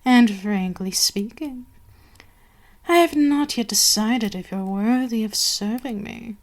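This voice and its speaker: A woman playing an imperious, seductive vampire queen in an ASMR roleplay, haughty and menacing, drifting into breathy whispers. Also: a little high, slow. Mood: cold, commanding.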